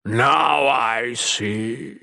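A man voicing a monstrous, rotting undead tyrant in a fantasy audiobook, mocking and imperious, with a rumbling voice and slow, grandiose menace. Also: gravelly, sharp tone, no room sound, studio quality, heavily acted. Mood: gloating, menacing. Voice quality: rumbling, thunderous.

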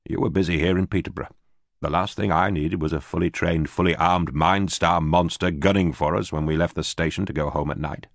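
A man's voice: low voice, fairly even intonation, fairly quick, creaky, dry recording, clean studio sound. A man voicing a frightened, bitter former official in an audiobook, pleading and stammering nervously. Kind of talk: audiobook character voice.

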